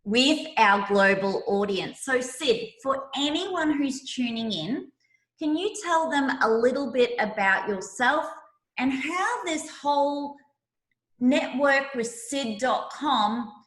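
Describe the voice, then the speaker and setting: A woman's voice: high voice, animated, slow, a bit bright. A woman hosting a podcast interview in an effusive, emphatic way, recorded in a roomy, echoey space. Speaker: podcast host.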